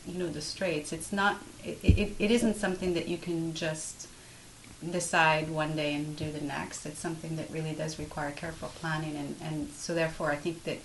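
A woman whose first language is Arabic answers interview questions about her writing, her voice sitting a little low. She speaks in a measured way and circles back to restart phrases. A faint hiss runs under the recording. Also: level tone, low-quality recording. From Morocco. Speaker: author being interviewed.

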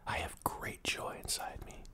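Man acting a whispering, desperate lonely middle-aged man. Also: lightly acted. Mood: desperate, insistent. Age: 40s. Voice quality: breathy whisper. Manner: pleading, halting, repetitive. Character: desperate, lonely forty-two-year-old man pleading to be seen. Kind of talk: radio play acting.

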